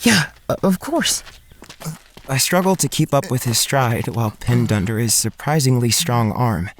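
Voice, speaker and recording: A man's voice: mid-range voice, lively intonation, moderate pace, a little hiss or hum, close to an everyday voice. An actor playing a shy, anxious young male student, narrating in the first person in an audio drama with an earnest, introspective manner. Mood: nervous, polite. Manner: earnest, introspective, hesitant in dialogue.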